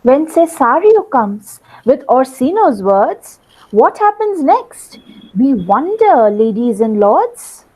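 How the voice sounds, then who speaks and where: High, soft-toned, husky woman narrator. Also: big pitch swings, slow, rough recording. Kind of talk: scripted theatrical narration.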